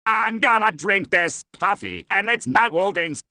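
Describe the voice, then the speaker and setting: A stubborn, grumpy cartoon grouch, with a sharp edge and swooping pitch. The answers are curt and dismissive, in the manner of an old TV ad skit. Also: lively intonation, a little slow, dry recording, studio quality. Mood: stubborn, skeptical.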